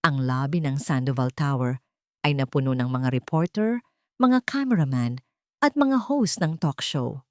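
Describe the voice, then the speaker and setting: A woman narrating a scripted romance drama with dramatic pauses. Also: very deep voice, lively intonation, darkish tone, no room sound, clean studio sound.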